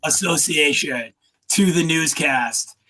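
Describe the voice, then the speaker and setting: A man's voice: fairly high pitch, takes it slowly, a crowd in the background. A man hosting a casual interview over a video call, upbeat and joking, with lots of fillers. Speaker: host.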